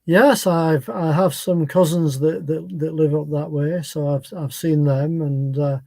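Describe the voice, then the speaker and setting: A man's voice: on the high side, steady tone, a little slow, creaky, a little mellow, no echo. A man from Scotland living in England, chatting easily on a video call and often repeating himself. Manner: easygoing, repeats himself, agreeable. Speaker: guest. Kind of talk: casual chat.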